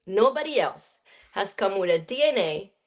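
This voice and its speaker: A woman's voice: medium pitch, big pitch swings, moderate pace. Woman, film director, interview guest, storytelling, fillers like "you know". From Colombia. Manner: warm storytelling with many fillers. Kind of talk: casual interview conversation.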